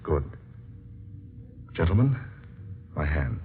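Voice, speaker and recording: An actor playing a determined scientist in an old-time radio drama, speaking in a deliberate, formal, grave way. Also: male voice, a bass voice, big pitch swings, full character voice. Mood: solemn, resolute. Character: determined male scientist shrinking himself with his potion. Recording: dull lo-fi old radio recording, music.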